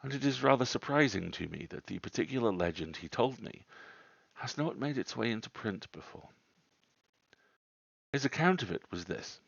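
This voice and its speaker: A man's voice: medium pitch, expressive, normal speed, vocal fry, long pauses, fairly bright tone. A man reading a classic ghost story aloud as a livestream host. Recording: roomy, dull livestream audio.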